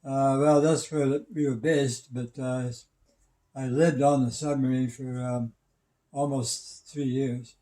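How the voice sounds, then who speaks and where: An older man in his seventies explaining things casually, in a halting, stammering, matter-of-fact way. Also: medium pitch, little pitch movement, warm tone. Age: 70s. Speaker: retired systems engineer and radio hobbyist.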